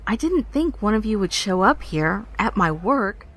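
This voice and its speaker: Podcast drama: self-conscious young woman ranger, middle-pitched, hesitant, nervously confiding. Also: sing-song, moderate pace. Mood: defensive, anxious. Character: uneasy, apologetic young female park ranger. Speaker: actor in audio drama. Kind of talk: naturalistic podcast drama dialogue, recounting.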